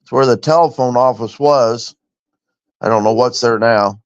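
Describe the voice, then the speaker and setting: A man's voice: mid-range voice, moderate pace, clean tone, no room sound. A middle-aged man from Indiana tells stories in a plain, casual way. His voice comes through dry, muffled stream audio with no room sound. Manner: plain, storytelling, reminiscing. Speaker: former truck driver. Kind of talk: casual chat.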